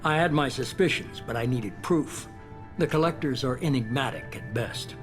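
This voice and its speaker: An actor playing a calculating middle-aged leader of a shadowy organization in a video game, speaking in a measured, authoritative way. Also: male voice, medium pitch, animated, lightly acted. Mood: measured, grave. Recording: game music behind.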